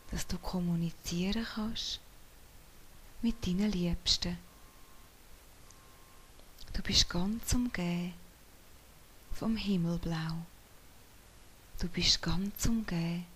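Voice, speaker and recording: A woman whispering softly as she leads a guided meditation in a slow, soothing way. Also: on the low side, slightly rough. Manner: soft whispering.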